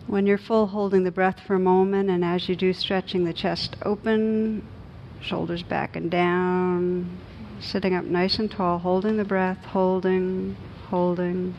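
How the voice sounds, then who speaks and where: A woman meditation teacher leading a guided meditation in a gentle, soothing, unhurried manner with long pauses. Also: level tone, lo-fi sound. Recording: noisy recording with low rumble.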